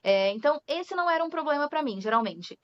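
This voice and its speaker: Brazilian woman, young adult, English teacher, chatty guest, slightly high voice, dull recording. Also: lively intonation, quick pace. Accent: Brazilian Portuguese. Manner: chatty, laughs often, drops English words into her talk. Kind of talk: casual interview chat. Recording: dull lo-fi sound.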